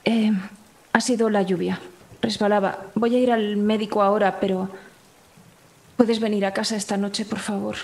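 A woman's voice: mid-range voice, flat delivery, moderate pace, long pauses, a little mellow, lightly acted. A woman playing a shaken young woman who hesitates and reassures in a tabletop roleplaying game. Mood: hesitant, reassuring.

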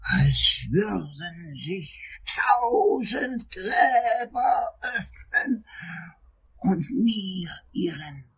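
An actor playing a fussy, shaken older lawyer in a crime radio play, with a breathy, raspy, halting, long-winded delivery. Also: male voice, high-pitched, big pitch swings, a bit bright, exaggerated voice. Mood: agitated, horrified. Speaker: client, prosecutor character.

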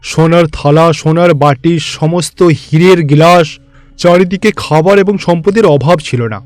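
A man's voice: fairly high pitch, a little slow, dry recording. A man narrating a scripted cartoon fairy tale as the storyteller.

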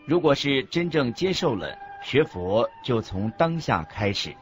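A man playing an earnest Buddhist master who teaches in a measured, didactic way in a scripted radio drama. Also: mid-range voice, sing-song. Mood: earnest, instructive. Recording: dull lo-fi audio with background music. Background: music.

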